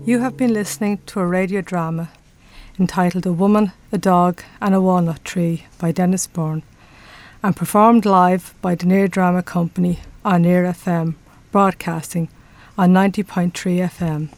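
A woman's voice: lowish voice, steady tone, normal speed, darkish tone. A woman with an Irish accent reading a scripted announcement as a radio announcer. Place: radio studio.

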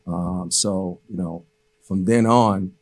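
A man's voice: low voice, fairly even intonation, slow, slightly rough. A man born in Haiti and raised in the Boston area, a college basketball coach speaking casually and reflectively as an interview guest. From born in Haiti, raised in the Boston area from age eight. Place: podcast studio.

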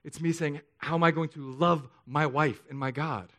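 Earnest male pastor, fairly high voice. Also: fairly even intonation, moderate pace. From Southern California. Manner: earnest, confessional, swings from soft to emphatic. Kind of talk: sermon.

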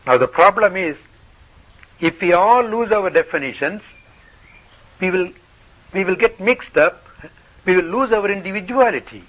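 A man with a high, bright voice gives a spiritual talk in English. He speaks in short, playful phrases and often asks questions. He stops after each phrase so an interpreter can translate it. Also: lively intonation, unhurried, long pauses, rough recording. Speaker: spiritual teacher.

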